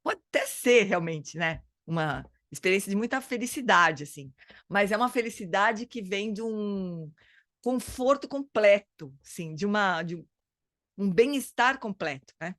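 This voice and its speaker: A woman's voice: mid-range voice, animated, moderate pace, fairly bright tone, dry recording, clean studio sound. Woman teaching, hesitant and searching. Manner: hesitant, searching for words, frequent "né?". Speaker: dharma study group teacher. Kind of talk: casual spoken teaching.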